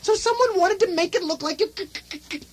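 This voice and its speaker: Excitable stuttering lord, radio comedy, extremely high voice, faint noise of an old recording. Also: female voice. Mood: agitated. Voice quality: heavy comic stutter. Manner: hysterical, overwrought, halting. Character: panicky, stuttering, excitable Scottish nobleman in a comedy. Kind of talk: comic radio play acting.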